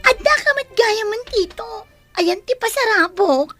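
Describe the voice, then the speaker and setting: High, bright demanding Ilocano daughter played by a woman. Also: animated, a little slow, no echo, faint music behind, exaggerated voice. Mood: whining, pleading. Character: demanding, spoiled daughter nagging for money and belongings. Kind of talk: radio drama acting.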